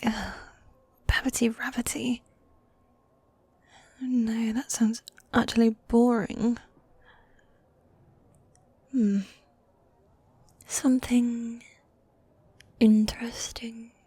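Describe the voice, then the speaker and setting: Needy goth demon girlfriend, played by a woman, ASMR roleplay: dark velvety whisper, unhurried, teasing and affectionate. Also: on the high side, flat delivery, no room sound, heavily acted. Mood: amused, teasing. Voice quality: soft, breathy, often whispering. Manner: affectionate, coaxing, drawn-out genteel phrasing. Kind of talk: medieval fantasy ASMR roleplay.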